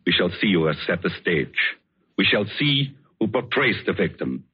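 An actor playing a vengeful, obsessed painter feigning tenderness, in measured, hesitant 1940s radio suspense style. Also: male voice, sits low, very animated, breathy edge, full character voice. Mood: cold hatred. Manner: measured, theatrical, hesitant pauses. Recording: dull old radio recording, music behind.

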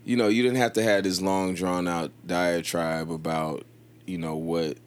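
A man in his thirties, a podcast guest, telling a story in casual conversation in a thoughtful, measured way. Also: low voice, flat delivery. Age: 30s.

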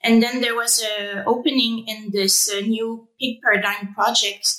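Young woman, Quebec French accent, native French speaker, highish bright voice, conversational guest. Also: level tone, measured pace, reverberant, low-quality recording. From Quebec, Canada. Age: young adult. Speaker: podcast guest, researcher. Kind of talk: conversational interview.

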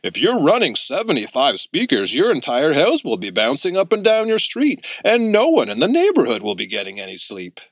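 A man's voice: fairly high pitch, wildly swooping pitch, moderate pace, hardly any pauses, dry recording, pitched above the performer's own voice, heavily acted. Guy doing a blunt shop owner, kinda incredulous, emphatic. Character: adult male shop owner, blunt salesman.

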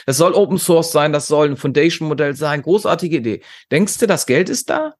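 A man's voice: a little high, expressive, a little fast. A man from Germany talking as a podcast guest, giving opinions emphatically and outspokenly with rhetorical questions. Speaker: podcast guest, conference founder. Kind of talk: opinionated interview talk.

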